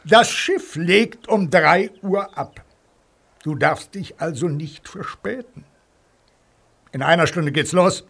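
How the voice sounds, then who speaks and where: A man's voice: a little high, slow pace, creaky, fairly bright tone, full character voice. An actor playing a fat, bossy, self-important male railway controller in a children's audio drama, blustering orders.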